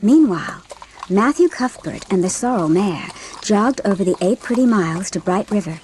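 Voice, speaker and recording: A woman's voice: medium pitch, animated, moderate pace, a little mellow, slight background noise, low-quality recording. A woman narrating a dramatised audiobook from a script, telling the story in literary prose.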